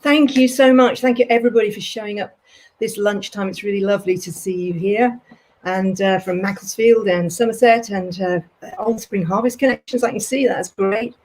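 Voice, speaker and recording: A woman's voice: mid-range voice, moderate pace, a little mellow, poor audio. A woman academic talking conversationally as a panelist in an online discussion. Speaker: panelist, theology professor and college principal.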